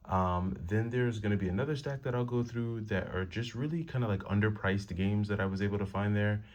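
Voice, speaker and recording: A man with a deep, rumbling voice talking quietly at home late at night, casually showing the games he has picked up. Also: fairly quick, muffled recording. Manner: quiet, hushed talk to avoid waking the family. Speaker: retro game collector vlogger. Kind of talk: casual haul show-and-tell.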